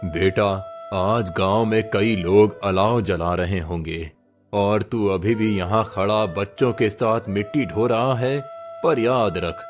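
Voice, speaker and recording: A man's voice: lowish voice, slow. A man playing a kindly old village uncle in a moral story, worried, sympathetic and encouraging. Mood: concerned, encouraging. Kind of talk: Hindi moral story voice acting. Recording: faint music behind the voice.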